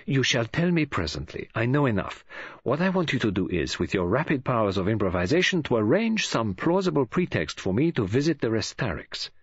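A man voicing a precise, scornful older male detective in an audiobook, speaking in formal, measured phrases. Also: medium pitch, big pitch swings, slightly rough, no room sound, lo-fi sound, pitched above the performer's own voice. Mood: commanding.

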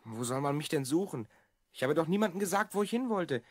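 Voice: medium pitch, lively intonation, a little fast, breathy edge, no echo, clean studio sound. A performer playing a secretive boy of twelve from the future in an audio drama, explaining things confidingly. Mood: troubled. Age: child character, twelve. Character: Rhonn, secretive stranded boy time traveller from the future. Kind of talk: German audio drama acting.

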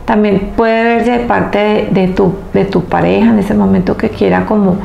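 A woman in her thirties with a heavily creaky voice gives a spiritual tarot reading. She speaks earnestly, in short emphatic phrases with pauses between them. The room is echoey, with faint noise behind her. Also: medium pitch, fairly even intonation, muffled recording. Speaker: tarot reader and host. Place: small indoor room, seated at table. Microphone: clip-on lapel mic. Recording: roomy echo with faint background noise.

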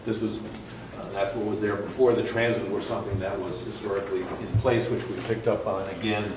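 A man with a low, flat voice walks a hearing through his building design, stumbling over fillers and restarting sentences. He is picked up by a distant microphone in a large meeting room. The sound carries a roomy echo and steady background noise. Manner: halting, many fillers and restarts. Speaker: architect presenting a project. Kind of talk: public hearing presentation. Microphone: far room mic.

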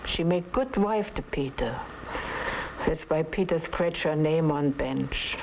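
A weary immigrant mother, guarded and slow, with a creaky voice. Her comes in short, broken phrases, in the style of 1950s TV drama, on a noisy old soundtrack. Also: sits low. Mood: wistful. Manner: halting broken English, plain short phrases. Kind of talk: 1950s TV crime drama acting.

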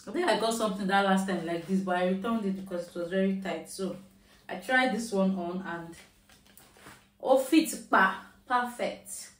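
A woman's voice: medium pitch, normal speed. Nigerian woman, Yoruba accent, casual haul-vlog chat, recorded in an echoey room from a distance. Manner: chatty, mixing in Nigerian Pidgin phrases. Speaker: shopping haul vlogger. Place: at home, indoors. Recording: roomy, mic at a distance.

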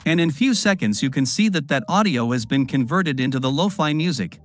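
A man giving step-by-step instructions in a screen-recorded software tutorial voice-over. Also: fairly high pitch, a little fast, soft background music. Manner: instructive, lively intonation.

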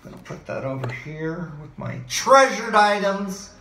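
A middle-aged man who is hoarse and losing his voice, rambling excitedly from topic to topic in a home video, talking into a desk mic on a boom arm in his living room. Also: measured pace, a little hiss or hum. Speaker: vlogger. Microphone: desk mic on a boom arm, webcam framing. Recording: roomy home echo.

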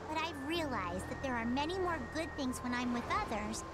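A plucky young pirate girl from an anime game, high and very lively in pitch. She talks in a folksy, easygoing way with some wistfulness. Loud ship ambience runs behind her. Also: moderate pace, very noisy. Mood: wistful. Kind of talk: dubbed anime video game acting.

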